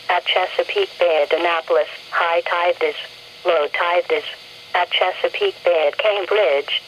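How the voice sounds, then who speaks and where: A synthetic-sounding woman announcer reading a scripted marine weather forecast on weather radio. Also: fairly low pitch. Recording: muffled, noisy weather radio broadcast.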